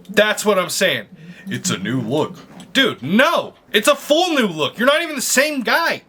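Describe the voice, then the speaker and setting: A man's voice: high-pitched, pushed and bright. A man hosting a casual reaction video, commenting in loose, slangy, sweary talk as if chatting with buddies. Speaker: YouTube reaction host, streamer.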